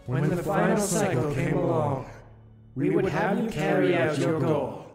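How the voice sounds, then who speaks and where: Slow, solemn, high-voiced ancient ruler. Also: male voice, expressive. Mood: solemn, grave. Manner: grave, deliberate, theatrical pronouncements. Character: solemn, ancient otherworldly ruler, calmly justifying terrible deeds. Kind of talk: dramatic visual novel voice acting. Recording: echoing voice under loud music.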